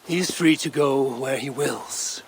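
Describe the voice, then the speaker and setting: An actor playing a steadfast, diplomatic Viking raider in a video game cutscene, firm and measured. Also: male voice, mid-range voice, gravelly, breathy edge, full character voice.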